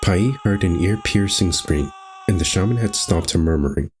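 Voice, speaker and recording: A man's voice: deep voice, moderate pace, slight background noise. A man hosting a gaming video, reading visual novel narration aloud and adding casual commentary.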